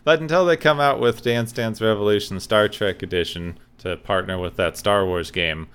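A man's voice: on the low side, normal speed, a bit bright, clean tone. A man co-hosting a casual podcast, riffing with dry jokes and playing the game-show host. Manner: dry, joking riffs.

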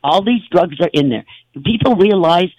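A man's voice: high voice, lively intonation, normal speed, darkish tone, dry recording, low-quality recording. A man hosting a talk show, telling stories in a rambling, casual way with many fillers and restarts. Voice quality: gravelly, husky.

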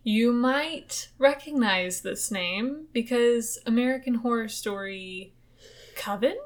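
A young woman hosting a podcast, telling ghost stories in casual chat, swearing freely and chasing quick asides. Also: a little high.

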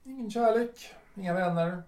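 A man's voice: high voice, darkish tone, natural voice. A man acting a radio drama as a lonely, nostalgic retired official in his sixties, musing hesitantly in broken, repeated phrases. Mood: wistful musing. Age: 60s. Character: reclusive, nostalgic retired civil servant of 62, lonely but resigned. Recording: roomy echo, distant from the mic.

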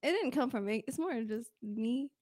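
A young woman from Las Vegas chats as a podcast guest, easygoing and quick to giggle. Her voice sits a little higher than most women's. The sound is close and dry. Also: expressive, darkish tone, dry recording. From Las Vegas, USA. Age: young adult. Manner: laid-back, giggly. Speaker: guest, clothing designer. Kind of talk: casual interview chat.

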